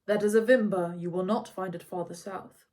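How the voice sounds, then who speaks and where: A woman reading as a wise old centaur, stern yet patient, speaking in an even, factual, unhurried tone in a storybook telling. The voice sits a little lower than the reader's own and takes on an altered, grainier colour. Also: lightly acted. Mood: measured. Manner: neutral, calm, factual. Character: calm stern ancient male centaur teacher.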